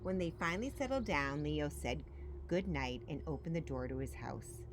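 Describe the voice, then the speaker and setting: Animated, lower-voiced woman reading a picture book. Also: normal speed. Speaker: story-time reader. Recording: faint background noise.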